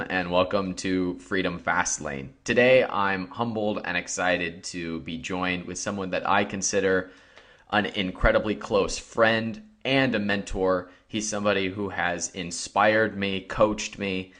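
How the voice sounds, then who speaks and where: Upbeat low-voiced male podcast host. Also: fairly bright tone. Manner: upbeat, motivational, laughs easily. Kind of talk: scripted intro, then interview questions.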